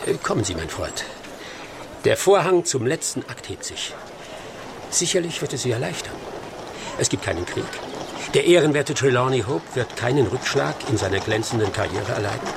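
An actor playing a brilliant, commanding detective in a radio drama, brisk, probing and articulate. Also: male voice, medium pitch, animated, background noise, natural voice. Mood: pleased, confident. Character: brilliant male detective, commanding, shrewd, quietly amused.

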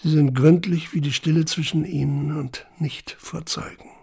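A man playing a haunted young deportee, recounting camp life in a deliberate, introspective radio drama monologue. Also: fairly high pitch, steady tone, creaky, a little mellow, breathy edge. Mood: solemn, bleak.

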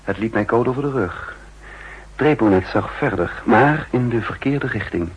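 An actor playing an intense, guilt-ridden double agent who narrates his own downfall in a 1960s Dutch radio drama, with a husky voice and deliberate dramatic delivery. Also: medium pitch, highs cut off, lightly acted. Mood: grave, ashamed. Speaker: lead actor and first-person narrator.

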